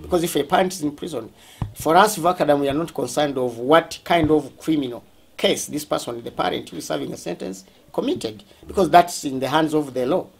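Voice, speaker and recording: A man with a Ugandan accent speaking as a school representative, explaining things during a tour in a halting, deliberate way, often rephrasing. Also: on the high side, creaky, breathy edge.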